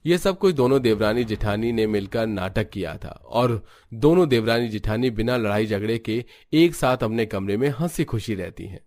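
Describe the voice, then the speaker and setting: A man's voice: lowish voice, lively intonation, normal speed, darkish tone, no room sound, clean studio sound. A man working as narrator, reading a scripted Hindi cartoon family story.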